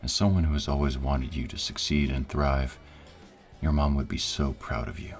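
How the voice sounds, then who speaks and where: A man acting in an audio roleplay as a dignified older director and supportive family friend, speaking in a formal, kindly, measured way. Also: extremely low pitch, fairly even intonation, soft background music. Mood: sincere, kindly.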